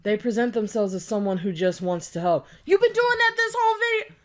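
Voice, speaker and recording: A woman's voice: mid-range voice, very animated, fairly quick. A woman giving a sarcastic, exasperated commentary rant while reacting to a video. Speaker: commentary youtuber. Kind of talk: reaction rant over a clip.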